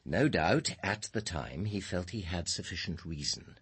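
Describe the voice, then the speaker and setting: Guarded british director in a radio play, low husky voice, formal and precise, lots of rise and fall. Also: male voice, lively intonation, full character voice. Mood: composed, precise. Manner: formal, precise, measured, educated phrasing. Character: guarded, composed middle-aged male power station director under police questioning. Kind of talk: British radio drama acting.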